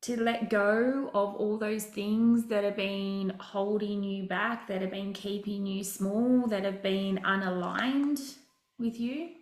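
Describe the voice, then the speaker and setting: A woman leading a guided relaxation session as a wellness coach, speaking in deliberate, instructive phrases with frequent pauses. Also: medium pitch, fairly even intonation. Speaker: meditation and wellness session leader. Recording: roomy echo with music behind.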